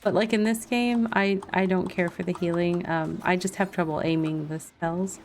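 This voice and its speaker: A woman's voice: on the low side, steady tone, normal speed, darkish tone, slight background noise. A woman chatting casually and informally as she livestreams a video game, laughing easily. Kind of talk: casual livestream gameplay chat.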